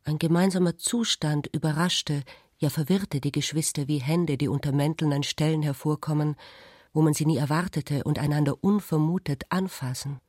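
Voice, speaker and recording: A man's voice: on the high side, steady tone, normal speed, a little mellow, no room sound, clean studio sound. A man reading literary prose as a radio drama narrator.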